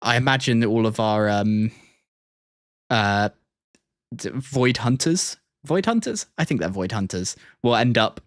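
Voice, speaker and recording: A man doing casual livestream commentary, reacting and ranking in a halting, think-aloud way. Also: lowish voice, dry recording, clean studio sound. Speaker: streamer reacting to and ranking game trailers. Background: music.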